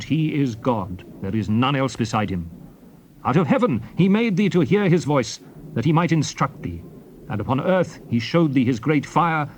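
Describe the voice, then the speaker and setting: An actor playing Moses as a grave, commanding old prophet in a dramatized scripture reading, declaiming with emphatic, swelling phrases. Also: male voice, fairly high pitch, close to an everyday voice. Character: Moses, solemn commanding old prophet exhorting his people. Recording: faint background hiss on old cassette tape.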